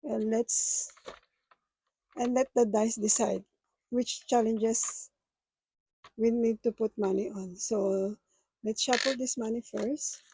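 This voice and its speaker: A woman whose first language is Filipino chats casually with viewers as a budgeting vlogger, speaking in a halting, hesitant way. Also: a little high, fairly even intonation, warm tone, slightly rough, no echo, low-quality recording.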